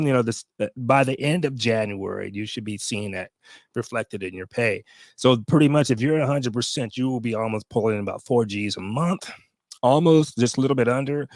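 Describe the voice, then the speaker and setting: A man's voice: medium pitch, no echo. A man in his late fifties, a veteran vlogger talking casually to his viewers, rambling with many fillers and restarts. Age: 50s. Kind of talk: casual talk to camera.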